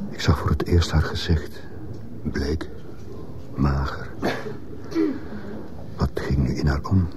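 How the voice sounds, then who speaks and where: A man's voice: rumbling low, creaky, heavily acted. An actor playing a guilt-ridden schoolteacher who narrates his own story in a sombre, introspective way in a radio drama. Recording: noisy old radio play recording.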